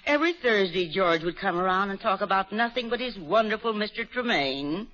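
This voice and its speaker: A woman's voice: medium pitch, animated, brisk, fairly bright tone, natural voice. A woman playing a sugary con woman posing as mama. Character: greedy con woman posing as a sweet old mother. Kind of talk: 1940s radio acting. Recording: dull lo-fi old radio broadcast.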